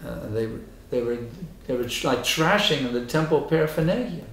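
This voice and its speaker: A hoarse man speaks as a spiritual teacher in a temple, driving his points home with emphatic repetition. His voice sits in a middle register. The hall around him rings with a roomy echo. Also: mid-range voice, animated, normal speed, a little hiss or hum. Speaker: spiritual teacher giving a lecture. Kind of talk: temple lecture with questions and answers. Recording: echoey hall.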